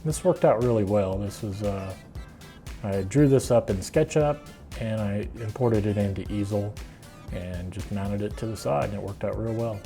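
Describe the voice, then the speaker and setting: Low-voiced middle-aged American man. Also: moderate pace, creaky, dark tone, faint music behind. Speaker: woodworking video host. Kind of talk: casual explanatory narration of a DIY project.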